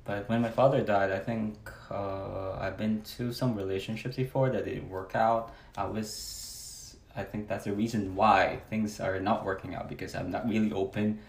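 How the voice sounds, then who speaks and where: Hesitant low-voiced Filipino man. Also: steady tone, normal speed, hardly any pauses, echoey room. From Philippines. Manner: hesitant, soft-spoken with many fillers. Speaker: interview guest. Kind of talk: casual personal conversation.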